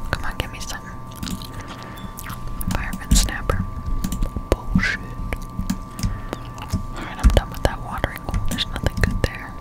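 Young woman, breathy whisper, close mic, ASMR gameplay commentary, musing and rambling. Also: normal speed, long pauses, poor audio. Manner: rambling, musing aloud to herself. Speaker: gameplay streamer. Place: indoors at a home computer. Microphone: close-up desktop condenser mic.

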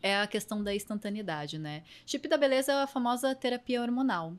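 Brazilian lady nutritionist, middle pitch, chatty explainer, keeps hammering the main point. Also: brisk. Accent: Brazilian Portuguese. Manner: explanatory, emphatic, repeats key points. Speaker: nutritionist guest. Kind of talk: casual conversational interview.

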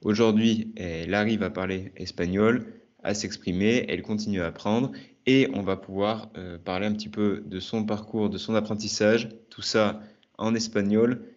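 A man with a very deep voice hosts a relaxed interview over a video call, speaking slowly and clearly. His earphone headset gives a slightly dull, muffled sound. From France. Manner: friendly, asks questions slowly and clearly. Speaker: host of a language channel. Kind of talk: conversational interview.

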